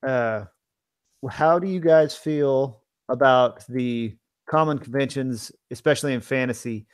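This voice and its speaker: A man of average pitch chats casually as a podcast co-host. His phrasing is measured and a little halting. The recording is dry, with little room sound. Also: clean tone, no room sound. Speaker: podcast co-host and fantasy author.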